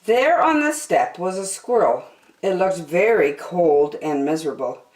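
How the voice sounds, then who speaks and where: A woman reading a children's story aloud expressively, with deliberate, dramatic phrasing. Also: fairly low pitch, expressive, darkish tone, echoey room, poor audio.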